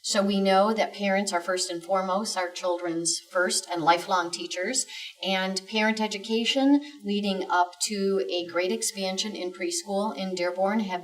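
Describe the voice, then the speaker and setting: A woman giving an informative explanation as a school district guest, in a measured, formal and methodical way. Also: medium pitch, far from the mic, rough recording.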